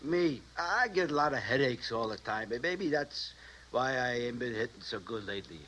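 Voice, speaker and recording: Dim, punch-drunk American boxer. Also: male voice, mid-range voice, animated, pushed and bright. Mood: confused, worried. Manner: slow-witted, halting, simple folksy speech. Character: dim, good-natured punch-drunk male boxer, trusting and confused. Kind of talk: 1950s American radio detective drama acting. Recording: noisy vintage radio broadcast recording.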